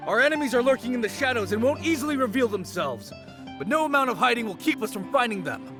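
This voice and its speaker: A vigilant game townsman with a very high voice. He is resolute and animated, with music behind him. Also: fast talker. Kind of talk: video game voice acting.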